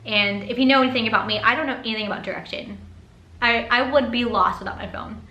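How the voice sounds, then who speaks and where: Young female vlogger, high and bright, chatty storytelling style, roomy sound. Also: fast talker. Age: young adult. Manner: chatty, animated retelling with acted quotes. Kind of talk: casual story time vlog. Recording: roomy echo.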